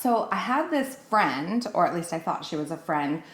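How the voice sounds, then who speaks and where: Low-pitched woman chatting in an echoey room. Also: big pitch swings, normal speed. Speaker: podcast speaker. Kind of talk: casual chat, personal anecdote. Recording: roomy, echoing sound.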